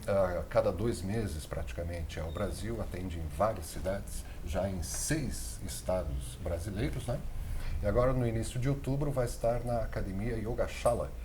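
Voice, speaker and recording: A man hosting a studio interview, his voice on the low side. He asks questions in a friendly, relaxed way, with a faint hiss of studio noise behind him. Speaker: TV host.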